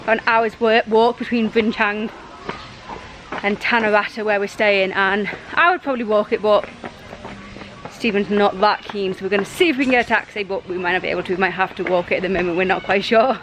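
A woman's voice: medium pitch, steady tone, a little fast. An English woman in her thirties with an English accent, chatting casually and informally as a travel vlogger. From England. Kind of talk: casual vlog chat. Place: open-sided farm shelter and village streets. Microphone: handheld camera at arm's length. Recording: busy public places with loud background noise. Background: crowd chatter, ambient noise, traffic.

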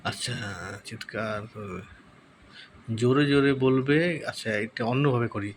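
Male tutorial host, medium pitch, casual, thinks aloud and repeats himself while typing, slight background noise. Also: normal speed.

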